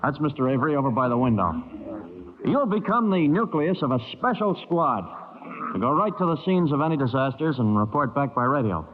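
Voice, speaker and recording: A man's voice: mid-range voice, sing-song, a little fast, natural voice. A man playing a polite, businesslike civil defense director addressing a meeting in an old-time radio drama. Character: courteous, orderly male civil defense zone director. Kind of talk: 1940s-50s radio drama acting. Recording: muffled, narrow old-time radio recording.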